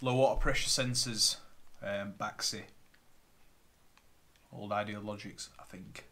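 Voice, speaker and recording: A plumber talks through his van stock in a fairly low, matter-of-fact voice. He rattles off parts while seated at a table in a living room, filmed by a camera on a tripod. Plastic bags crinkle, and a faint hiss sits under the recording. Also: fairly even intonation, a little slow, bright, forceful tone. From Northern England. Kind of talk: casual unboxing vlog.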